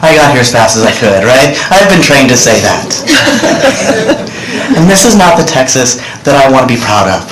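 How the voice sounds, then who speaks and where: A man's voice: medium pitch, very animated, normal speed, a loud crowd. Earnest man testifying in an echoey hearing room. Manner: earnest, direct, addresses senators. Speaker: witness testifying. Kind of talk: public testimony. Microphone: desk microphone. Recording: roomy hearing room audio.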